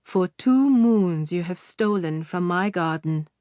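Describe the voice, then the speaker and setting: A woman reading an audiobook, voicing a sinister, cackling witch in a low, hissing voice. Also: expressive, slow pace, mellow, no room sound, studio quality. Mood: menacing.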